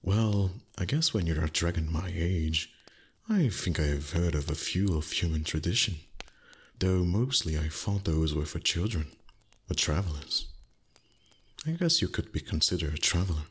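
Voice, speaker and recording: Man playing a very husky, deep ancient dragon. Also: lively intonation, normal speed, exaggerated voice. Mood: dry, teasing. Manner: haughty, teasing, archaic formal phrasing. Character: ancient, proud dragon, gruff but secretly tender. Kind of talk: roleplay ASMR voice acting.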